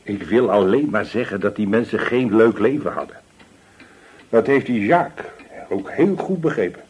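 A callous landlord in a radio drama, with slightly husky tone and widely swinging pitch. He is disdainful and gossipy, always complaining and justifying himself, and slips into evasive hedging. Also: expressive, normal speed, a little mellow. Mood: scornful, gossipy. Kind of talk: Dutch radio play dialogue.

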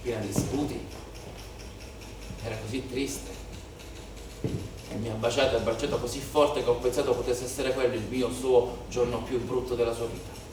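An actor playing a weary, bitter policeman and shepherd's son from southern Italy in a declamatory theatrical monologue with dramatic pauses and repetitions. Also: mid-range voice, flat delivery, natural voice. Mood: subdued, wistful. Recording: very echoey theatre, distant mic, faint noise.

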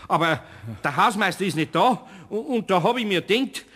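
Old bavarian craftsman in a radio play, highish voice, stammers n grumbles, long reverb. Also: animated, fairly quick, a bit bright. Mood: polite, awkward. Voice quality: stammering when flustered. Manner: rambling, homely dialect speech. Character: flustered, good-hearted older male craftsman, grumbling and pleading. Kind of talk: German radio play acting.

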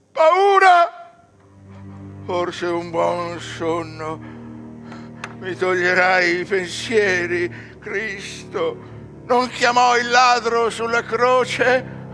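An actor playing a grave, anguished, doomed scholar in a verse radio drama, with solemn declamatory delivery. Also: male voice, high voice, very slow, pauses often, fairly bright tone, faint music behind, full character voice. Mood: anguished, brooding.

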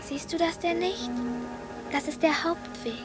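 A woman's voice: very high-pitched, unhurried. A woman acting a stubborn, dreamy little village girl, worn out and earnest, in a radio drama. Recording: studio radio drama with music.

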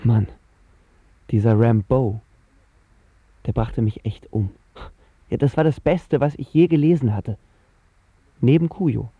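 A man's voice: mid-range voice, measured pace, long pauses, husky, no echo, narrow, tinny audio. An actor playing a lovestruck, self-doubting seventeen-year-old boy who tells his story in casual slang for a radio drama. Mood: brooding, restless. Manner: slangy, colloquial. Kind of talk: scripted first-person radio drama narration.

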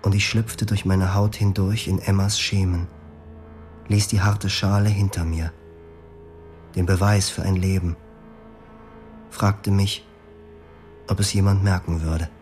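A man tells his story in the first person for a radio drama, his voice low and grave. He keeps the delivery quiet and measured. Faint music sits under the words. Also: flat delivery. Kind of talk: scripted first-person narration.

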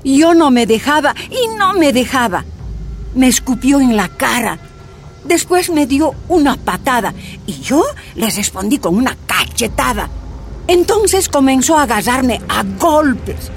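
A woman's voice: sits high, big pitch swings, unhurried, soft background music, full character voice. An actress playing a tortured woman activist, recounting her imprisonment in anguished, emphatic first-person narration.

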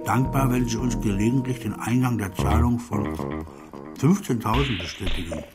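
A man acting in a radio drama as a formal character reading a curt business letter in a measured way. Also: lowish voice, expressive, loud music behind the voice, natural voice.

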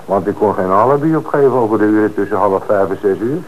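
An actor playing an observant male police detective who talks quietly in an old radio play. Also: lowish voice, fast, muffled recording, slight background noise, lightly acted. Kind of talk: radio drama acting.

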